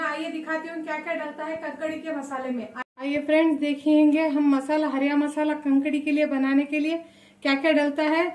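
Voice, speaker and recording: Chatty high-voiced woman cooking at home. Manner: chatty, repeats "look" and blessings often. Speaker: cooking channel host. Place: home kitchen, indoors.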